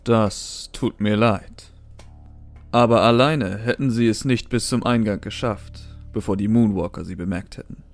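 A man performs a kindly, sad scientist in a horror audio play. His voice is on the low side, calm and measured, with careful, polite phrasing. Faint music runs underneath. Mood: calm, cautioning. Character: kindly, sad, cautious male scientist doctor. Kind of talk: audio horror drama dialogue.

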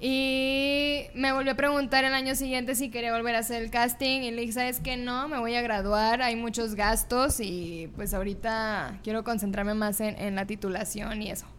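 High-voiced young Mexican woman, noisy background. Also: level tone, normal speed, few pauses, fairly bright tone. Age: 20s. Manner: chatty, informal. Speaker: podcast guest, singer and TV presenter. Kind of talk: casual conversational interview. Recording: clear background noise and room ambience.

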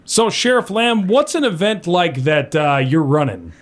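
Lively joking male radio host. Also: a little high, unhurried. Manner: joking, friendly, laughs easily. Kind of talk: casual interview.

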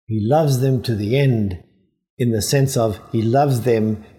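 A man, a priest, giving a measured, reflective commentary on scripture, with phrases that trail off quietly. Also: medium pitch.

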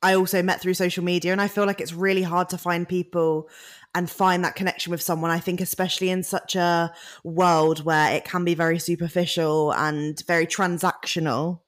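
A woman with a fairly low voice hosting a podcast. She is bubbly and effusive in casual conversation. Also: level tone, a little fast. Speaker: podcast host. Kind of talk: casual chat.

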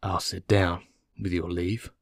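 Man voicing a low, weary, placid doomed prisoner. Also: flat delivery, gravelly, no echo, studio quality, full character voice. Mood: weary, earnest. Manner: slow, placid, methodical. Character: calm, weary, doomed male prisoner confessing. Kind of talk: audiobook character dialogue.

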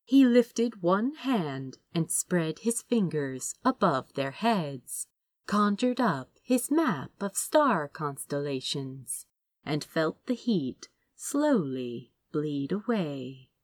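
A woman narrates a story in a lowish voice at a very unhurried pace. Her delivery is expressive and animated in pitch, and the recording is dry and close. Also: dry recording. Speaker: fan fiction audiobook reader.

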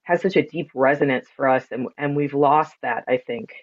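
A woman with a deep, resonant, loud voice talking expressively and reflectively in a conversational interview, with earnest emphasis. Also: normal speed, highs cut off. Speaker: podcast guest, spiritual sound and ceremony practitioner.

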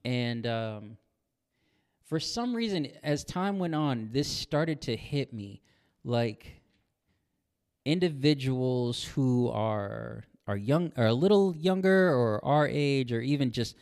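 A man's voice: mid-range voice, expressive, slow pace, a little mellow, clean tone. A young American man co-hosting a podcast, talking casually with a friend, with slang and some swearing. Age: young adult. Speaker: podcast co-host.

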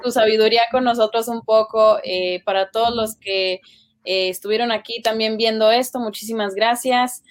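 A woman's voice: fairly high pitch, fairly even intonation, moderate pace. A woman hosting a podcast interview, who came as an immigrant when young, speaking with frequent hesitations. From Latin America. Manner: hesitant, many fillers. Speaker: podcast host. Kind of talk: casual interview.

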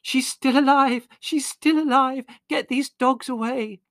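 A man doing a fretful, high-voiced mother. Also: fairly even intonation, a little slow, a bit bright, no room sound, studio quality, heavily acted. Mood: frantic. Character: flustered, fussing middle-aged mother.

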